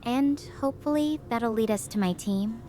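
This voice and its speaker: A woman playing a stranded, guilt-ridden young astrophysics researcher in a sci-fi drama, speaking softly and hesitantly in a confiding way. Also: on the high side, moderate pace, slight background noise, close to an everyday voice. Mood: reflective, gentle. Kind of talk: conversational sci-fi roleplay.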